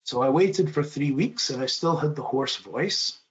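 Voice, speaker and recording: A middle-aged man giving an online presentation in a modest, measured way, often restarting his sentences. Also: fairly high pitch, highs cut off. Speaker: webinar presenter.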